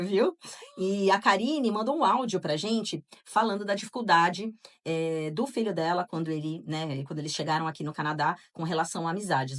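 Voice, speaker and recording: A Brazilian woman co-hosting a casual podcast, chatting and reading out listener answers, with frequent tag questions. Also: medium pitch. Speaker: podcast co-host.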